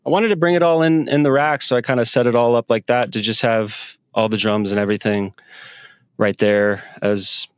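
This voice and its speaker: A man's voice: medium pitch, steady tone, moderate pace, smooth, dry recording. A man, a music producer, talking casually in an interview about his studio setup, with many fillers and restarts. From United States. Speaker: guest musician. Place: home studio with synth rack.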